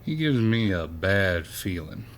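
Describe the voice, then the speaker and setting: A man's voice: low voice, big pitch swings, unhurried. A man playing a wary, protective small-town diner cook in an audio drama, suspicious and terse.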